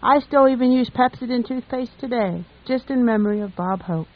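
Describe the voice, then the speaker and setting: Lady chatting about old memories, bit high pitched, homely and talky, thin telephone audio. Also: brisk. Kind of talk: casual reminiscing chat. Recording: tinny narrow phone-like radio line.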